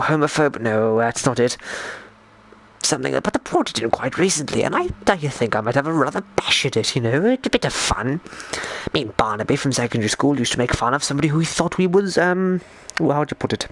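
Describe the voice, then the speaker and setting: A man voicing a genteel, bumbling 1920s English gentleman, rambling in old-fashioned posh phrases on a live stream, hesitant and repeating himself. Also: mid-range voice. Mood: scandalised. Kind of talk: in-character live stream chat while gaming.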